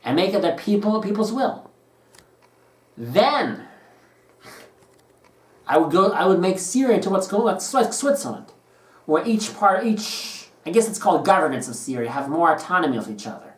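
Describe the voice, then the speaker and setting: A man's voice: sits high, expressive, moderate pace, pauses often, recorded from a distance. A man speaking his mind in an earnest, opinionated monologue, tripping over words and starting phrases again as he goes. He sits well back from the microphone, and the room around him rings with echo. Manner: earnest and opinionated, often stumbling and restarting phrases. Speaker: political commentary vlogger. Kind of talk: unscripted opinion monologue to camera. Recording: roomy sound, speaker away from the mic.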